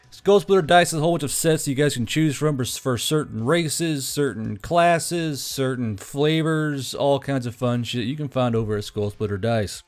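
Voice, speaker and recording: A man giving a casual, rambling channel introduction as host, folksy and freely swearing. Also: mid-range voice.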